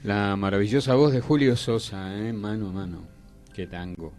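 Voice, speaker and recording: A mature man with a somewhat low voice and an Argentine Rioplatense accent. He hosts a radio interview attentively, with short repeated affirmations. Light music sits faintly behind him. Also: normal speed, darkish tone.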